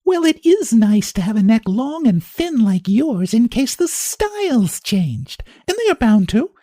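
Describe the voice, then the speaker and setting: A vain, gushing older lady, put on by a man reading a story, all sweetness and quick chatter. The voice sits high and leaps about. The sound is dry and close. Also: big pitch swings, mellow, no room sound, heavily acted. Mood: sweet teasing. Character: Missus Wilson, sweet, lively, vain older woman.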